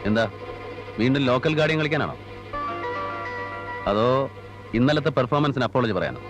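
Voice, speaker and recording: A man's voice: mid-range voice, very animated, normal speed, over music, close to an everyday voice. An actor playing an arrogant, cruel, domineering man in a film drama, scornful, with an Indian Malayali accent. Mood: sneering. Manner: scornful, mixes Malayalam with English outbursts. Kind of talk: heated film drama dialogue.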